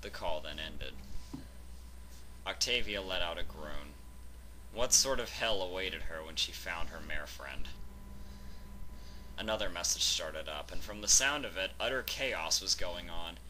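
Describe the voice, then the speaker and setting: Bright, forceful man narrating a story. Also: low-pitched, animated, a little slow, long pauses, a little hiss or hum, low-quality recording. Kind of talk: scripted fan fiction narration.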